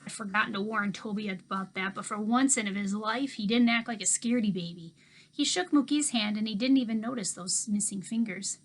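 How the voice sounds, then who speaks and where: A woman teacher reading a children's novel aloud to her class with expressive storybook delivery. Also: mid-range voice, fairly quick, rough recording.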